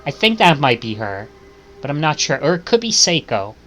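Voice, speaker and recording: A man's voice: a little high, big pitch swings, moderate pace, fairly bright tone, faint music behind. A man reading visual novel text aloud as a streamer, stumbling and correcting himself, with casual asides.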